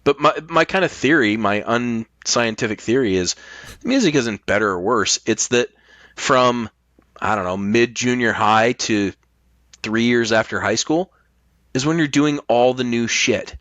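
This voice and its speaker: A man's voice: mid-range voice, expressive, a little slow, fairly bright tone, no echo. A man chatting casually as a podcast host, explaining his opinions emphatically and at length, with plenty of swearing. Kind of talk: casual chat.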